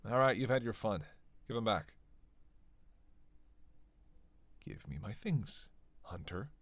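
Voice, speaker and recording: A man's voice: fairly low pitch, normal speed, a bit bright, close to an everyday voice. A man playing a sardonic, playful vampire who banters sarcastically with his hunter in an audio roleplay. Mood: exasperated. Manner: sarcastic, playful, drawling asides with sighs and scoffs. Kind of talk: audio roleplay acting, one-sided dialogue.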